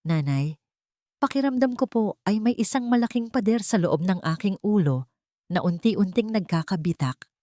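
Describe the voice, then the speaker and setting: A woman's voice: medium pitch, expressive, moderate pace, dark tone, no echo, clean studio sound, close to an everyday voice. A woman playing a troubled, fearful young woman in an audio drama, sounding hesitant and vulnerable. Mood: fearful, sad. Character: troubled, fearful young woman who lost her memory. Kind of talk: Tagalog audio drama acting.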